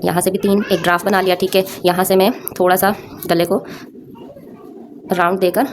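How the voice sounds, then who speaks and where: A woman's voice: fairly low pitch, flat delivery, normal speed. Woman tutorial host with a sore throat, noisy recording. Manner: conversational, addresses viewers with frequent check-in tags. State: sore throat, frequent throat clearing. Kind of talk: informal step-by-step craft tutorial narration.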